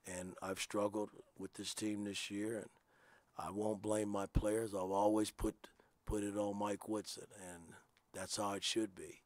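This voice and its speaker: A man in his sixties, a basketball coach answering postgame questions in a measured, plain-spoken, self-critical way at a table microphone in a press room. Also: on the low side, little pitch movement, dry recording. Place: press room with sponsor backdrop.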